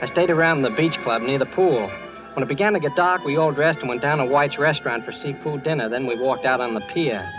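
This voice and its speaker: A man's voice: mid-range voice, fairly quick, lightly acted. An actor playing a nervous, evasive young American man with a boyish, hesitant, earnest manner in 1940s radio drama style, sometimes narrating. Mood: confiding. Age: young adult. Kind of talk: 1940s radio acting with first-person narration. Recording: dull old radio recording with music.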